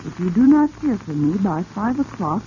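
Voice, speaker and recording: Muffled vintage radio sound with some noise carries an unsuspecting, well-mannered wife played by an actress. Her voice is mellow and rounded, in 1940s radio drama style. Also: medium pitch, very animated, moderate pace, close to an everyday voice. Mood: earnest, puzzled.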